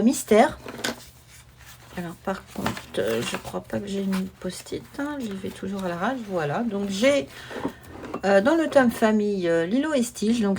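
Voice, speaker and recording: A woman vlogger talks in a casual, easygoing way at a medium pitch. She sounds informal and unhurried, as if chatting at her desk. The home recording carries some faint noise. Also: a little mellow. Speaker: hobby vlogger presenting her coloring projects. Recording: noisy home recording with clicks.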